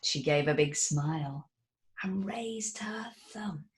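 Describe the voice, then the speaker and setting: A woman reading a rhyming picture book aloud in an animated, theatrical way. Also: low voice, slow, background noise. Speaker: narrator.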